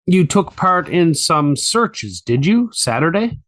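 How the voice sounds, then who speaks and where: A methodical 1890s prosecutor , played by a man, with a fairly high, very animated voice. He puts formal, probing questions to a witness in a courtroom reenactment. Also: takes it slowly, exaggerated voice. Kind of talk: audio reenactment of a court transcript.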